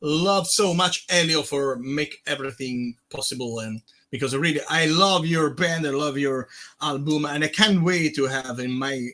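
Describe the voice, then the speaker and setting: A man's voice: fairly high pitch, moderate pace. A man from Italy with an Italian accent, hosting a casual, effusive chat from his private home studio. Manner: excitable, effusive, laughs easily. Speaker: host.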